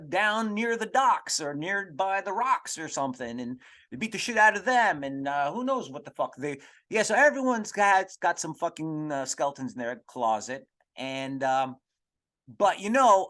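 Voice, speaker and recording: A man hosting a comedy podcast, rambling through an irreverent, profane monologue in a halting, digressive way. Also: a little high, fairly bright tone. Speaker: podcast host.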